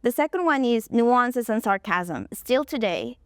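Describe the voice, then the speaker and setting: Woman presenting, smooth clear voice. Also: fairly high pitch, animated, a little slow, no room sound, studio quality. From Colombia. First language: Spanish. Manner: explanatory, walking through points in order. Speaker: conference presenter. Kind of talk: stage presentation.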